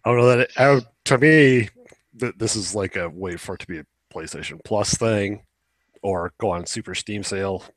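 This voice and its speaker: A man's voice: on the low side, moderate pace, no echo. A young man chatting casually as a podcast co-host, opinionated and rambling, swearing now and then. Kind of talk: casual chat about video games.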